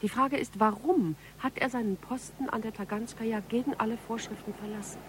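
An actress playing a polite but persistent police investigator questioning a suspect in a radio crime drama. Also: female voice, fairly high pitch, brisk, background noise, natural voice. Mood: insistent. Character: tall gaunt policewoman, probing, polite, persistent interrogator.

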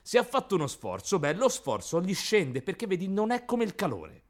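Exasperated, animated know-it-all. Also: male voice, sits high, normal speed. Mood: pedantic, exasperated. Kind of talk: radio theatre acting.

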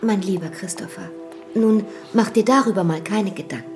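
A woman's voice: mid-range voice, moderate pace, a little mellow, lightly acted. A woman in a German radio drama playing a spirited, playful noble lady. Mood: teasing, fond. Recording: crowd and music behind.

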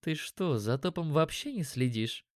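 A man voicing a curious, teasing and gentle young female elf in an audiobook story. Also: sits low, expressive, normal speed, no echo, studio quality. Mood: knowing, teasing.

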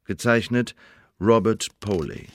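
An actor playing a formal official who declares the contents of a testimonial letter in a radio play, in a stately manner. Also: male voice, fairly low pitch, slow, background noise. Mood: solemn, assured. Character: male official writing a formal character testimonial.

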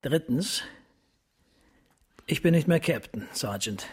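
A grave resigned police captain in a radio play, husky, kinda higher voice, formal and deliberate. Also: male voice, lively intonation, a little mellow, exaggerated voice. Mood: tense, commanding. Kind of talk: radio drama acting.

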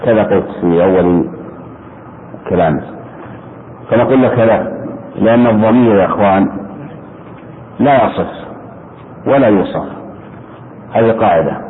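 A man's voice: on the low side, normal speed, pauses often. A man teaching Arabic grammar in an interactive class, explaining didactically, repeating his points and putting questions to his students. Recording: muffled phone-like sound with steady background noise.

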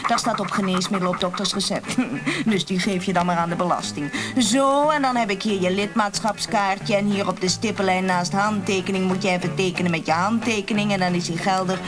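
A woman's voice: medium pitch, brisk, natural voice. An actress playing a chatty, businesslike motel call girl in a radio play, coy and teasing with endearments. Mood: coaxing, businesslike. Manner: coy, teasing, peppered with endearments and foreign phrases. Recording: music behind.

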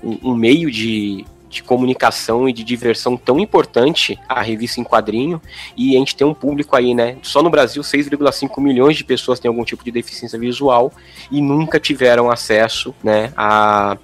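A man's voice: medium pitch, normal speed, faint music behind. A Brazilian man talking casually as a guest on a podcast. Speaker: podcast guest, audio description consultant. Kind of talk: casual conversation.

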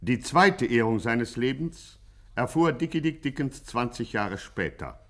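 A man's voice: medium pitch, big pitch swings, measured pace, fairly bright tone. A man playing a bombastic, tongue-in-cheek crime chronicler, declaiming grandly in a vintage German radio satire. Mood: mock-heroic. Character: bombastic mock-heroic crime chronicle narrator. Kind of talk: 1950s German radio play narration.

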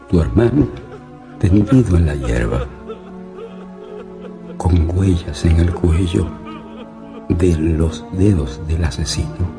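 Radio drama role: grave, bereaved older father, very slow and measured, soft music underneath. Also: sits low, exaggerated voice. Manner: solemn, measured, sorrowful. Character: Victor's grieving, tender, grave older father. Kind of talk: radio drama, reading a letter aloud.

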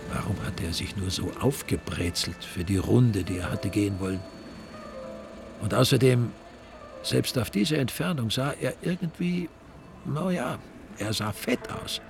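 Low, gravelly male narrator over music. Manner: dry, wry storytelling. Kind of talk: scripted crime story narration. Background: music under the narration.

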